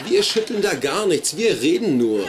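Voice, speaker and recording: A sensible young male sitcom nerd, defensive and exasperated. His voice is high and animated, over a clearly noisy soundtrack. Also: normal speed. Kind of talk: dubbed sitcom acting.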